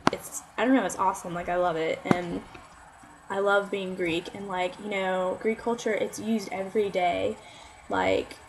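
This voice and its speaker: A woman's voice: mid-range voice, low-quality recording. Chatty young woman with a faint hiss. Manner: chatty, many "like" fillers, upbeat. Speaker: student giving a class talk. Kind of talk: informal oral presentation.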